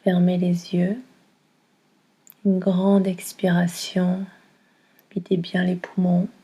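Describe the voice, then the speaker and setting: A woman guiding a yoga nidra meditation in a calm, soothing manner, with a soft, breathy delivery. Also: lowish voice, slow. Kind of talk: guided meditation.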